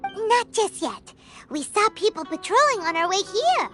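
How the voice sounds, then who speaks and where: A tiny, excitable fairy companion from a video game. The voice is squeaky and extremely high, childlike and slow-paced, leaping up and down in pitch. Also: female voice, soft background music, heavily acted. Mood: earnest.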